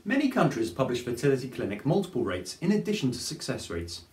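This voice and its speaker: Man explaining clearly, roomy studio. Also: medium pitch, very animated, darkish tone. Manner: clear, measured, explanatory delivery. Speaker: fertility advice presenter. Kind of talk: scripted explainer to camera. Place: plain studio with grey backdrop.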